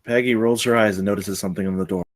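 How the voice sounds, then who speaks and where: A man's voice: fairly low pitch, fairly even intonation, rapid-fire. A man reading stage directions as the narrator of a screenplay table read.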